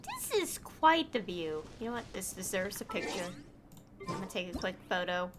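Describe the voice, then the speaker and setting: A woman streamer chatting casually through a game, easily delighted and quick to laugh. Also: medium pitch, big pitch swings, noisy background. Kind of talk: casual gameplay commentary.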